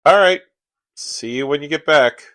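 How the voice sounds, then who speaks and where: A man playing a skeptical, reluctant buddy, the wry straight man in a comedic radio play, questioning in a deliberate way. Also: fairly high pitch, fairly bright tone, dry recording, close to an everyday voice.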